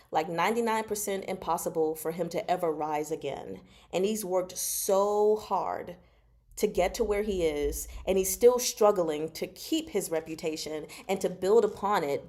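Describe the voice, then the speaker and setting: A woman giving a casual, chatty book recap as a host, expressive and emphatic, often checking in with the listener. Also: fairly low pitch. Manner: expressive, conversational, emphatic, often checks in with "okay?" and "you know". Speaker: host of a book discussion vlog.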